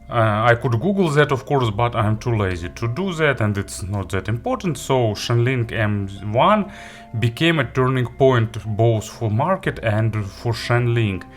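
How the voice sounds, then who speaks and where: A man giving a casual gadget review and unboxing, halting and hesitant as he thinks aloud. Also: lowish voice. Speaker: audio gear reviewer.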